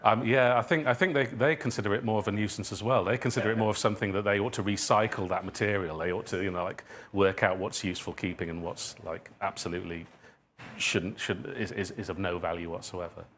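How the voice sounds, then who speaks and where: A man speaking conversationally at a live talk, answering questions in a halting way, circling back over his phrases. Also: fairly low pitch, fairly even intonation, a bit bright. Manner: halting, circling and repeating phrases, chuckles at own remarks. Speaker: speaker, writer of audio drama. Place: indoor public event venue.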